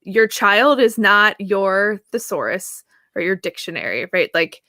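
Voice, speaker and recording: A woman in her thirties, a therapist guest answering at length in a casual, animated way, laughing easily and often checking in with "right?". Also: medium pitch, measured pace, dry recording, studio quality. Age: 30s. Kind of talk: conversational interview answer.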